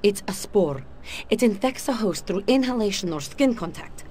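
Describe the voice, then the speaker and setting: A woman's voice: medium pitch, animated, normal speed, a little mellow, a little hiss or hum, lightly acted. An actress doing a female Russian KGB spy, Russian accent, cool dry delivery, sultry and lethal, game cutscene, faint ambience behind. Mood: serious. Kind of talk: video game cutscene acting.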